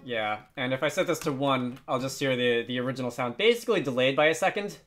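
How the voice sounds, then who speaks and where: A man hosting a casual software tutorial, thinking aloud hesitantly and adding wry, self-deprecating asides. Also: mid-range voice, lively intonation, quick pace, faint music behind. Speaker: tutorial host.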